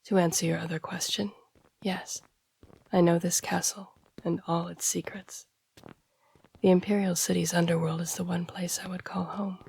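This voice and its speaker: A woman playing a devoted, courteous masked assassin and royal guard in an audio roleplay, speaking in hushed, breathy, formal tones. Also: on the low side, flat delivery, normal speed, slight background noise. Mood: guarded, tender. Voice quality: breathy, husky. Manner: formal, deferential, hushed speech. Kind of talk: intimate audio roleplay acting.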